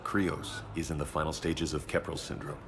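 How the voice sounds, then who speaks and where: An actor playing a frank, sober doctor who speaks in a measured, grave, matter-of-fact way. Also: male voice, extremely low pitch, flat delivery, music in the background. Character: frank, sober hospital doctor delivering bad news.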